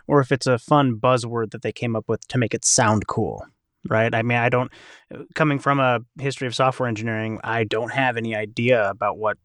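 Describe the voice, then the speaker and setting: A man's voice: medium pitch, fairly bright tone, clean tone, no echo. A man hosting a casual chat, speaking in an enthusiastic, rambling way. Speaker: podcast host.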